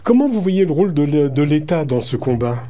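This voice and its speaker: A man hosting a radio interview, putting measured, partly read questions to his guest. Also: on the high side, very animated, dark tone. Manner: measured, reading prepared lines. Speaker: radio host.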